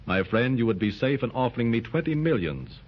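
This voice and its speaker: An actor playing a steady, heroic lord who speaks calmly, firmly and plainly, in 1930s radio acting on a dull old recording. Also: male voice, medium pitch, brisk, close to an everyday voice. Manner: calm, firm, plain-spoken. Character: steady heroic jungle lord in evening dress. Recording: dull, faintly hissy 1930s transcription.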